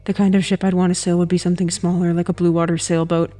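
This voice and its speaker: A woman playing a reserved, awkward enthusiast in an audio drama, deadpan and earnest in her delivery. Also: on the low side, quick pace, lightly acted. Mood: quietly earnest.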